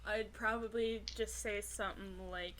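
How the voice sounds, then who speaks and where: A woman's voice: mid-range voice, steady tone, measured pace, noisy background. A woman playing a tabletop game, chatting casually as a player at the table.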